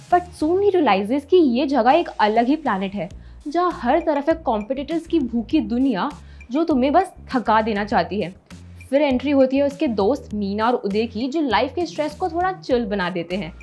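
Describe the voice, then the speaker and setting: Young woman presenter, breathy and fairly high, brisk scripted delivery over faint music. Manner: lively, mixes English into Hindi; gestures with her hands as she talks. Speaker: entertainment presenter. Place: studio, in front of a blue-purple backdrop.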